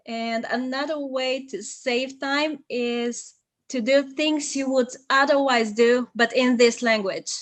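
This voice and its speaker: A young woman whose first language is Bulgarian, a translator calmly giving methodical language-learning advice in an interview, with frequent ums. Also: on the high side, no echo. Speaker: polyglot guest, translator.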